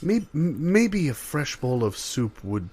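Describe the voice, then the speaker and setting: A man improvising a comedy role as a flustered foreign restaurant customer, halting, formal, apologetic and deferential. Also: fairly high pitch, big pitch swings, a little mellow, heavily acted. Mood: hesitant, uneasy.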